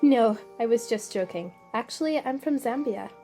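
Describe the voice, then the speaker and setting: Woman acting a polite young mentor, encouraging, formal phrasing, highish voice, children's audio drama, faint music. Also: lively intonation, normal speed, mellow. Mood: friendly, explaining. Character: gifted, encouraging young woman guiding child test-takers.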